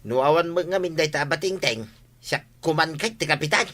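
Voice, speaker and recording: A man's voice: a little high, brisk, fairly bright tone, lightly acted. A man with an Ilocano accent acting an exasperated, grumbling village godfather in a radio comedy drama.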